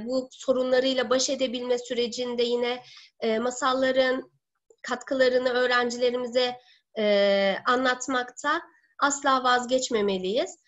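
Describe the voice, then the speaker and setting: A woman in her thirties giving an online seminar as a teacher, speaking deliberately with halting, drawn-out words. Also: high-pitched. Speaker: teacher presenting a webinar. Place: living room at home. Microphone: laptop or webcam mic on video call. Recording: dull lo-fi webcam call audio.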